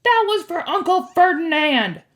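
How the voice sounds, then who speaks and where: A woman voicing an excitable young male satyr sidekick in an audiobook, frantic and yelling. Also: very high voice, measured pace, pitched above the performer's own voice, full character voice. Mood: excited yelling. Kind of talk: audiobook character voice.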